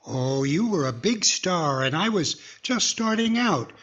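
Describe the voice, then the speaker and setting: Guy playing hopeful old-time radio ventriloquist, kinda high husky voice, muffled lo-fi audio. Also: expressive, a little slow, a bit bright. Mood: eager. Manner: earnest, eager to please. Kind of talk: 1940s radio comedy acting.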